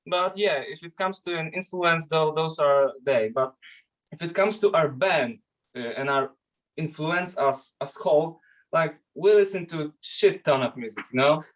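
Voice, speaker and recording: A man's voice: on the high side, unhurried, rough recording. A man with a Polish accent talks casually as a guest about his band, in a voice that sits a little above the usual male range. He restarts often and swears easily, with a loose, unpolished way of speaking. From Poland. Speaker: metal band vocalist, guest. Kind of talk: casual interview chat.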